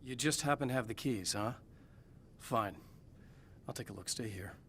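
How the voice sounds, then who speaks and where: A man playing a determined, brooding hero in a supernatural thriller game, speaking in an earnest, measured, guarded way with a husky edge. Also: mid-range voice, a bit bright, slight background noise, close to an everyday voice. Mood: guarded, firm. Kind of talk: dramatic game acting, introspective monologue.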